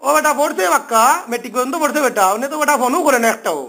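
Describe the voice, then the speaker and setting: A man's voice: very high voice, racing, bright, forceful tone. A man with a Sylheti accent on a phone call, talking informally in a pleading, complaining, repetitive way. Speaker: phone caller.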